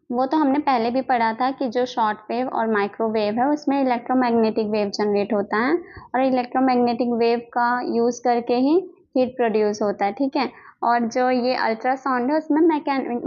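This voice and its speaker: Indian lady tutor, kinda high voice, reads notes then explains again, repeats stuff, dull lofi audio. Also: normal speed. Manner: repetitive step-by-step explaining with check-in tags. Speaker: physiotherapy teacher. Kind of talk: explanatory lesson mixing read-out notes and explanation. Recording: dull lo-fi microphone sound.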